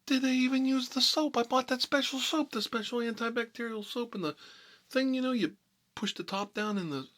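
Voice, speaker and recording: A man playing an exasperated mob boss in a comedy monologue, rambling in tough-guy slang and repeating himself, with a husky voice. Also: very high-pitched, fairly quick, a bit bright. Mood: outraged ranting. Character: exasperated mob boss telling family stories. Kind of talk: rambling comedic storytelling in character, between whispers and outbursts.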